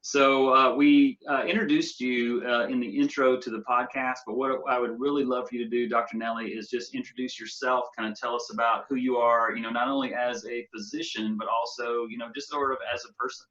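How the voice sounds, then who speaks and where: A man's voice: mid-range voice, little pitch movement, fairly quick, few pauses, sharp tone. Southern US man hosting, slightly dull sound. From South Alabama, United States. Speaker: podcast host interviewer. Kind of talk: casual podcast interview.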